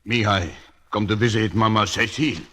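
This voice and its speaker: An actor playing a superstitious, earnest bayou boatman with a Cajun accent and halting broken English, in old radio drama. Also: on the low side, fairly even intonation, pushed and bright, a little hiss or hum. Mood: earnest, fearful. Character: superstitious bayou boatman, loyal family servant, simple and earnest. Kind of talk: 1940s radio drama acting.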